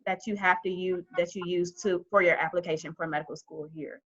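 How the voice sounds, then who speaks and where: A woman medical student on a video-call panel, explaining her own path in a relaxed way with many fillers. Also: lowish voice, fairly quick. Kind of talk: casual account on a video call. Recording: dull lo-fi video call audio.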